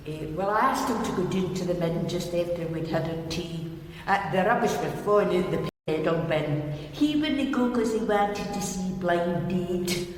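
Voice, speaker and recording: An actress playing a grumbling working-class Scottish housewife in a radio drama, chatty, rambling and full of exclamations. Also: female voice, on the low side, expressive, moderate pace, close to an everyday voice. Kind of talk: naturalistic radio drama acting. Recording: echoey stairwell-like reverb, faint noise.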